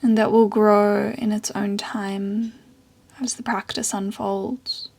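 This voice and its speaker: Meditation guide, female, soft and breathy, very even pitch, unhurried with long pauses. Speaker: yoga and meditation teacher.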